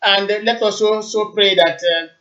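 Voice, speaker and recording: A man's voice: sits high, steady tone, normal speed, bright, forceful tone. A man hosting a live call-in radio stream, politely taking callers and switching between Igbo and English. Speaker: radio host. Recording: muffled lo-fi stream.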